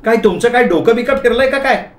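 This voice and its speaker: A man's voice: extremely high pitch, fast talker, reverberant, voice pushed up. A man voicing a bossy, nagging wife in a comic story, with a grating, snappish, demanding delivery. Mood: indignant. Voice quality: rough, grating.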